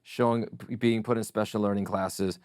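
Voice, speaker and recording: Low-voiced reflective Russian-born man. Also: steady tone, darkish tone, smooth, dry recording, studio quality. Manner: reflective, measured storytelling. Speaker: podcast guest. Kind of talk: casual interview chat.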